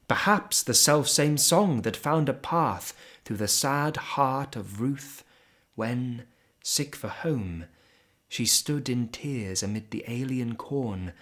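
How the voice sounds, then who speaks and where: A man giving a solemn, expressive poetry recitation in measured phrases with pauses between them. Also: medium pitch. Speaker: poetry reader.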